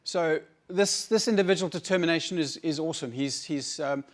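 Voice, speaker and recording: Halting South African man giving a talk. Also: a little high. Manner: halting, repeats words while searching for phrasing. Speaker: conference speaker, academic researcher. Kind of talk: conference talk.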